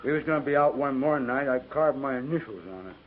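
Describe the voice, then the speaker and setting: An actor playing a simple, food-loving cavalry trooper in a 1950s radio western, dry and folksy, with a rural American accent. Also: male voice, medium pitch, lively intonation, quick pace, natural voice. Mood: dry, grumbling. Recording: tinny, faintly noisy 1950s radio recording.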